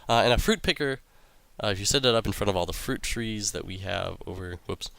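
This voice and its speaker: A young man with a low, gravelly, raspy voice rambles through a video game, full of ums and uhs. He is recorded close and dry on a desk mic, with no room sound. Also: a little fast, a bit bright, dry recording. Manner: rambling, many ums and uhs, reads quest text aloud. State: raspy voice from illness. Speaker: let's play host. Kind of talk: casual game commentary.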